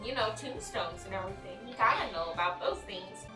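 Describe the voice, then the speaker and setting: Roomy, lively woman bantering over a game. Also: medium pitch, brisk, far from the mic, faint music behind. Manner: goofy, joking. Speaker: gaming co-commentator. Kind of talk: casual playful gameplay banter. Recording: roomy, away from the mic.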